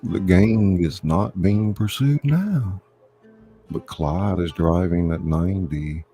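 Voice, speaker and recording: Very deep, slow male screenplay narrator. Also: warm tone, soft background music. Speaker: narrator of screenplay readings, channel host. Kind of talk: scripted narration of stage directions.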